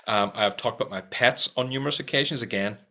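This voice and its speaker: A man's voice: medium pitch, fast talker, pushed and bright. A middle-aged man with a strong Irish accent, teaching practical tips in an upbeat talk to camera and repeating key points for emphasis. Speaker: sales trainer.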